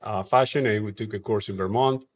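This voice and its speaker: A man from Argentina with an Argentine Spanish accent, a brewery co-founder talking casually in an interview, with frequent fillers and restarts. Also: on the low side. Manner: halting, many fillers and restarts.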